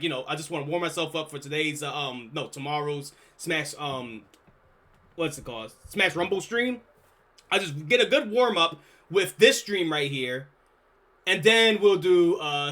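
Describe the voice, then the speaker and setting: Bright excitable swearing streamer man. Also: high voice. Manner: casual, swears a lot, excitable. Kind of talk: live reaction stream chat.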